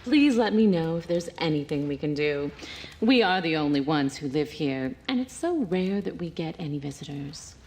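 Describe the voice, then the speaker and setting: A woman's voice: deep voice, lively intonation, normal speed, darkish tone. An actress playing a doting older woman caretaker in a game cutscene, sweet and fussing. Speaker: game character.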